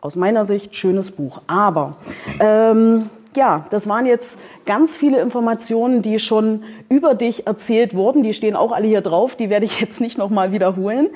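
A woman's voice: mid-range voice, moderate pace, darkish tone. A woman, a publisher moderating a book presentation in front of an audience, chatty and hesitant with many fillers. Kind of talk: casual event moderation. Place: event venue with audience.

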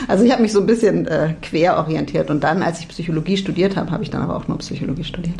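A woman from Berlin in her forties answering interview questions thoughtfully, often pausing and restarting her sentences. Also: on the low side, creaky, darkish tone. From Berlin, Germany. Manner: thoughtful, many restarts and hesitations. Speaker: psychology professor.

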